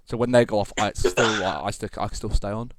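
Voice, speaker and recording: A man's voice: lowish voice, fairly even intonation, quick pace, dry recording. A man born in Britain, rambling through a casual podcast chat with lots of fillers and swearing. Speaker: podcast co-host.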